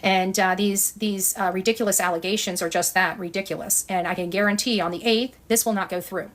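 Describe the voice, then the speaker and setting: A woman vlogger speaks very quickly in an unscripted address to her followers. Her delivery is emphatic and blunt, with a defiant edge throughout. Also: mid-range voice, steady tone. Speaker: video journalist and online channel creator. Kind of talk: unscripted commentary to camera for followers.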